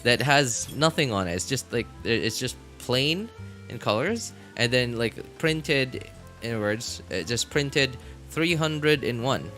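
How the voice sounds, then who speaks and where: A man's voice: mid-range voice, expressive, slow pace, sharp tone, faint music behind. A man chatting casually as a game streamer, rambling and thinking aloud to his viewers. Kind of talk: casual rambling livestream chat.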